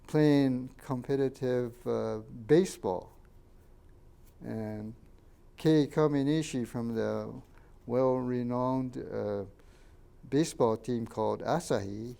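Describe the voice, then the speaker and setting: Older man, gravelly voice, mellow tone, slow halting recollection, many fillers. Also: medium pitch. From Canada. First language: Japanese. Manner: halting, many fillers and restarts, pointing out photos. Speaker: interviewee. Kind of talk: oral history recollection.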